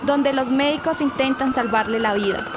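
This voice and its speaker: A woman's voice: sits high, moderate pace, noisy background, lightly acted. A woman playing a formal 1960s radio newsreader delivering an urgent breaking news bulletin in an audio drama. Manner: formal, announcing.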